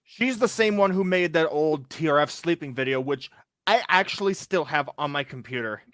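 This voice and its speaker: A man's voice: a little high, animated, fairly bright tone, no room sound, clean studio sound. A twenty-two-year-old man hosting a stream, rambling with fillers and restarts. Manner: rambling, halting, full of fillers. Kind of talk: casual stream chat.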